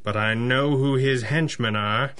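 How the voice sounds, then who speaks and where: A confident masked crime-fighter of old-style radio drama, played by a man. His mid-range voice is measured and deliberate, with a dry, wry edge. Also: medium pitch, fairly bright tone, natural voice. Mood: cool, analytical. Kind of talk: 1930s-style radio adventure acting.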